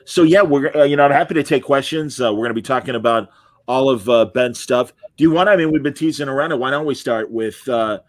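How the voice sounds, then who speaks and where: A man's voice: mid-range voice, fast, no room sound, studio quality. A middle-aged man hosting a casual interview, chatting in an informal, enthusiastic way. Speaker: podcast host and interviewer.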